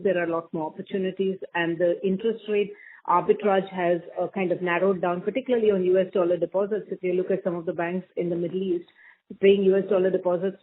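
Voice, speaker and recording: A woman's voice: mid-range voice, breathy edge. Through a narrow phone line comes a woman. She gives unscripted, explanatory answers as a senior bank executive on an earnings call, qualifying her points as she goes. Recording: thin phone conference line.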